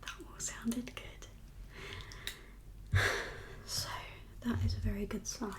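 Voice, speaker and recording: A woman whispering as a doctor in an ASMR exam roleplay, giving gentle, reassuring instructions in a breathy voice. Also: on the high side, steady tone, extremely slow. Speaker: ASMR performer playing a doctor.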